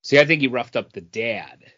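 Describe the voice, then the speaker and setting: A man with a medium-pitched voice thinks aloud in a casual podcast discussion. He explains his reading of a story in an informal way, on slightly muffled, lo-fi audio. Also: moderate pace, bright, forceful tone. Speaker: comic book podcast co-host. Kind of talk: casual book discussion chat.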